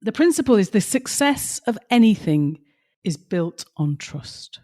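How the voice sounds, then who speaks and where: A woman's voice: mid-range voice, sing-song, a little slow, darkish tone. Passionate woman, slightly strained voice. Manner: passionate, emphatic storytelling. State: slightly strained, losing her voice. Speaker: podcast co-host. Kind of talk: casual podcast chat with stories.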